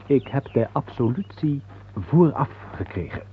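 A thin, phone-like radio play recording with faint noise and a conspiring viscount played by a man. His mid-pitched voice is formal and deliberate, with broad theatrical inflection. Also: expressive, natural voice. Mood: conspiratorial. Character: coolly conspiring, courtly male viscount. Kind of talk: old radio drama acting.

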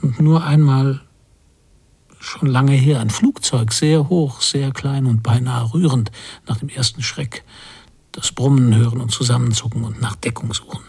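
A man playing a melancholy post-war emigrant father in a radio drama, brooding aloud in fragments with a husky, gravelly voice. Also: medium pitch, steady tone, dark tone, full character voice. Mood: reflective. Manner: slow-building, brooding reflection in verbless fragments. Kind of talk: literary radio drama monologue.

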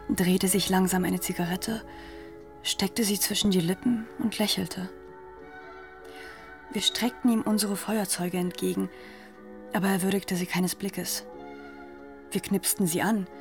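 An actress playing a grave, haunted narrator in a literary radio drama, telling the story in a measured, deliberate way. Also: female voice, medium pitch, lightly acted. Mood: haunted, solemn. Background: music.

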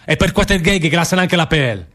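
An actor playing a bitter, weary condemned anarchist emigrant worker with a northern Italian Lombard accent, declaiming in dialect in a radio drama. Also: male voice, a little high, animated, fast talker, bright, forceful tone. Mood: bitter, accusing. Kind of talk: stage-style radio drama monologue in dialect.

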